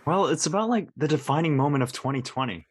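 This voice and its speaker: Casting: male actor as cocky, eager teen boy, teasing and casual, very animated pitch, audio drama. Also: fast, husky. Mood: insistent. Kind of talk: live audio drama table read.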